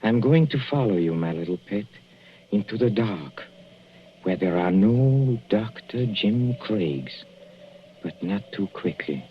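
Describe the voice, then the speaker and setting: A sinister, possessive husband in an old radio thriller. His low voice moves very slowly, with sardonic courtesy and theatrical pauses. The recording is muffled and noisy. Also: expressive. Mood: smug menace. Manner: sardonic, deliberate, halting, theatrical. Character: possessive, cruelly polite husband poisoning his wife, himself failing. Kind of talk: 1940s radio thriller acting.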